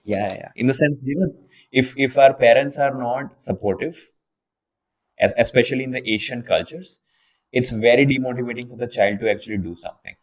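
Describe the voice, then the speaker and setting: A man's voice: mid-range voice, a little fast, poor audio. Casting: young man from India, podcast host, mid-range voice, loose conversational delivery with plenty of restarts. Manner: thinks aloud with frequent repeated words and restarts. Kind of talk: casual interview chat.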